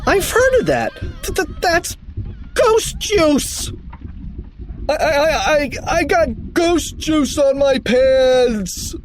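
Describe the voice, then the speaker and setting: Wailing, high-pitched cowardly bully boy. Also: sing-song, takes it slowly, a little hiss or hum, heavily acted, poor audio. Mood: horrified. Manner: wailing, yelling. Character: whiny, terrified school bully boys, easily reduced to tears.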